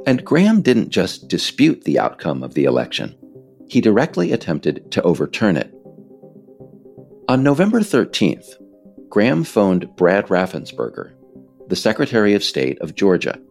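An American man reading scripted narration as the author of a book, in a measured, emphatic storytelling manner. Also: fairly low pitch, wildly swooping pitch, darkish tone, soft background music.

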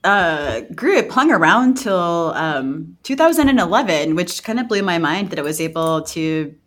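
A woman with a slightly low voice hosts a podcast in a casual, chatty style. She is informal and halting, feeling her way through sentences. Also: big pitch swings. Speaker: podcast host.

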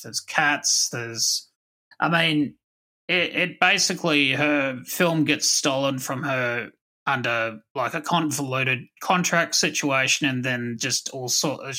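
Slow-talking man with a bright, forceful voice. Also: mid-range voice, poor audio. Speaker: podcast host. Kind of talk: casual review chat.

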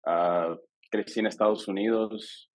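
A man from El Salvador with a Salvadoran accent, a guest on a podcast, telling his story in a lively way and acting out the dialogue he quotes. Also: lowish voice, measured pace. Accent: Salvadoran Spanish. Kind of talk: casual storytelling interview.

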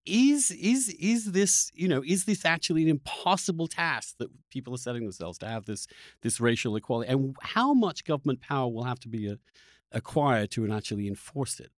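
A man hosting a podcast, asking long, reflective questions in a halting, searching conversational way. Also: mid-range voice, expressive, no echo, studio quality. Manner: halting, searching delivery with repeated words. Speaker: podcast host.

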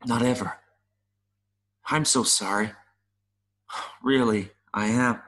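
Man voicing a slow-talking, gentle, wistful mayfly. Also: medium pitch, steady tone. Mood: tender regret. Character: gentle, wistful mayfly longing to see the world. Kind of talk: audio play acting.

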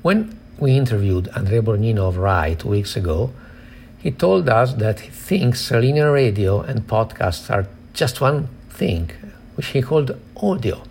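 A man hosting an interview, asking questions in a conversational way with a halting, think-aloud delivery. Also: medium pitch, animated, vocal fry, darkish tone, a little hiss or hum. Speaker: interviewer and host.

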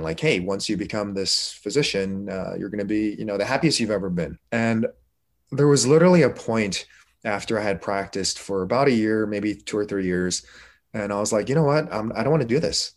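A man's voice: fairly low pitch, animated, a little fast. A middle-aged man, an interview guest, telling his personal story in an informal, reflective, conversational way. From Korea, moved to the United States.

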